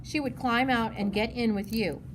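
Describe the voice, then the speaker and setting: A woman's voice: on the high side, normal speed, a little hiss or hum, lightly acted, low-quality recording. A woman voicing a thoughtful, earnest schoolgirl, the older sister in a storybook. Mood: fond, chatty.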